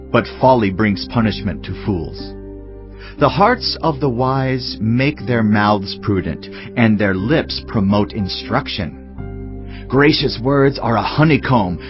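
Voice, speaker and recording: A man giving a dramatised reading of scripture in a solemn, measured delivery. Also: lowish voice, dull audio. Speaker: audio Bible reader. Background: clear background music.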